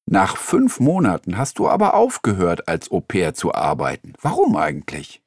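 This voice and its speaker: A man in a studio, reading interview questions in a scripted exam dialogue in a clear, announcing manner. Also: sits low, wildly swooping pitch, moderate pace, no echo.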